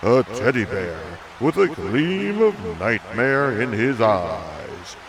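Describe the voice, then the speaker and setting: Man as a swooping, gravelly ring announcer. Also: big pitch swings. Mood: dramatic. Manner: drawn-out, booming flourishes. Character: dramatic male wrestling ring announcer. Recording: crowd noise behind.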